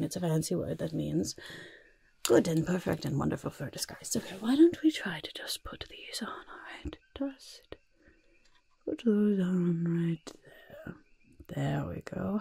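Woman playing a fussy goblin, ASMR roleplay, very breathy half-whisper, crackly fry, coaxing. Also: lowish voice. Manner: coaxing, gentle, hesitant asides, repeated words. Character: kindly, fussy, shifty goblin disguise master. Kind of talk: soft-spoken ASMR roleplay. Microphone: close-up microphone.

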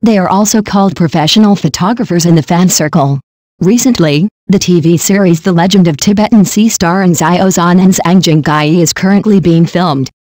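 Steady, dry text-to-speech news voice. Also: mid-range voice, moderate pace, no room sound. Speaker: news narrator. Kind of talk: scripted news narration.

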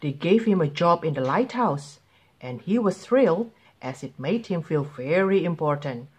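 A woman reading a children's picture book aloud in an expressive, deliberate way. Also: sits low, slightly rough. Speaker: children's story reader.

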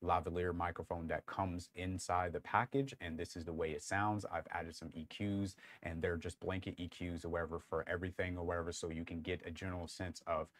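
Deep-voiced man reviewing gear casually. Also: steady tone, brisk, few pauses, dry recording. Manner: rambling, frequent fillers. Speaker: tech reviewer. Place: small room lit with colored lights. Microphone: lapel mic.

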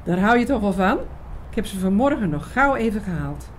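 A woman's voice: mid-range voice, very animated, a little fast, slight background noise, close to an everyday voice. A woman playing an eager, knowing researcher in a scripted radio drama, explaining mysteries with emphasis. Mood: eager, coaxing. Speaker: actress in an audio drama.

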